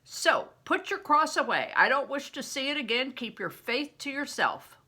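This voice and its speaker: Older woman reading as emphatic, gruff storybook man. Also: high-pitched, very animated, normal speed, bright, forceful tone, pitched above the performer's own voice, full character voice. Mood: angry, warning. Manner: emphatic, commanding, preachy. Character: rough, gruff, opinionated big man, storybook mentor.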